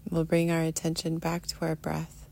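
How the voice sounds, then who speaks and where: A woman leading a guided meditation in a soothing, unhurried manner, often trailing into a breathy near-whisper. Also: low voice, monotone, mellow. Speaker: guided meditation teacher, podcast host. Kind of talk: guided meditation, soft instruction.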